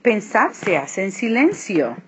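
A woman reading a children's picture book aloud, switching between hushed and emphatic delivery. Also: mid-range voice, very animated, slow. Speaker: read-aloud narrator. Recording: dull lo-fi recording, clear background noise.

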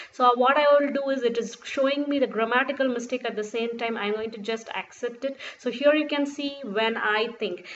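Woman tutor, Urdu mother tongue, explains patiently with restarts; dull lo-fi mic, faint hiss. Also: on the high side. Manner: explains step by step, frequent fillers and restarts. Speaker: tutorial presenter. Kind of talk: screen-recorded software tutorial. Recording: dull lo-fi sound with faint noise.

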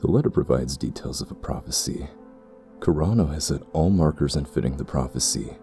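An actor playing a stern, ambitious temple priest in a fantasy audio drama, speaking formally and gravely with a husky, gravelly voice. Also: male voice, very deep voice, lively intonation, a little fast, warm tone, soft background music, exaggerated voice. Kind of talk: fantasy audio roleplay acting.

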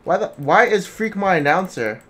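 A man's voice: high-pitched, animated, moderate pace, a bit bright, slight background noise. A young man who streams games, chatting casually with blunt, profane banter. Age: young adult. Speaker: game streamer. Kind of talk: casual gaming chat. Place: indoor room at a desk. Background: music, game.

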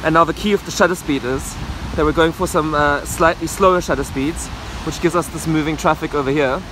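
A man in his thirties filming himself at arm's length outdoors in the rain, talking upbeat and quick about his photo setup. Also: fairly high pitch, fairly even intonation. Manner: upbeat, quick, talks to camera while filming. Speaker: photography vlogger. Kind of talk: casual outdoor vlog talk. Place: rainy city rooftop and street at night, outdoors. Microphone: camera held at arm's length. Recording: loud wind and traffic noise outdoors. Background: loud wind, rain and traffic.

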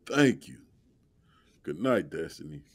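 A man's voice: extremely low pitch, sing-song, takes it slowly, full character voice. An actor playing a protective, street-smart young man in an audio drama, speaking in slangy, assertive dialogue. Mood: tender, protective.

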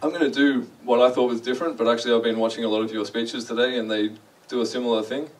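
Young Australian guy on a stage, relaxed and self-mocking, a bit distant from the mic in a large hall. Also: medium pitch, fast talker. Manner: chatty, self-deprecating, asks the audience questions. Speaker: conference speaker. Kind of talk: casual conference talk. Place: large dark lecture hall.

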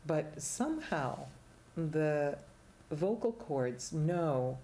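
Older woman with a low, mellow, slow voice. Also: lively intonation. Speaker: singing teacher, guest. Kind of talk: casual explanatory chat. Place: small TV studio, indoors.

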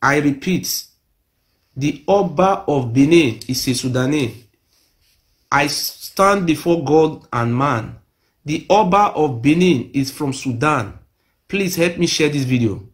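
A man's voice: fairly high pitch, lively intonation, takes it slowly. A middle-aged Nigerian man with a Nigerian accent, speaking emphatically to a phone camera in a small room, repeating his claims insistently. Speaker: self-made video speaker. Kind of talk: impassioned monologue to camera. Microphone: phone or webcam close to face.

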